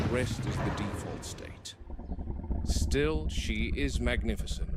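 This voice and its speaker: Man acting as solemn, measured game warden. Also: medium pitch, noisy background, close to an everyday voice. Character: grave, measured male game warden studying captive predators. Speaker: narrator. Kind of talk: scripted documentary-style monologue.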